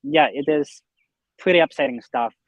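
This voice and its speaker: A man's voice: on the high side, moderate pace, no room sound, highs cut off. A young man from Russia, an interview guest explaining his online business in a conversational way. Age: young adult.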